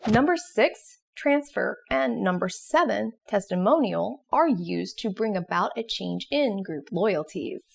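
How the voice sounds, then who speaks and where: A woman's voice: medium pitch, animated, unhurried, creaky, few pauses, slightly rough. A woman presenting an educational explainer in scripted narration. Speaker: presenter of an educational video series.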